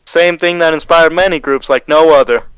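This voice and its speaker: A man's voice: fairly high pitch, moderate pace, fairly bright tone, clear, resonant tone, dry recording, lightly acted, low-quality recording. A man playing a folksy, matter-of-fact interview guest in a 1920s-style radio show. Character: folksy man recounting his undercover time in a hate group.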